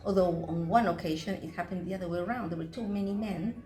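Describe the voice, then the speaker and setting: A woman's voice: lowish voice, quick pace, mellow, roomy sound, soft background music. A woman, a member of a dance club, chatting in a documentary interview with light laughs.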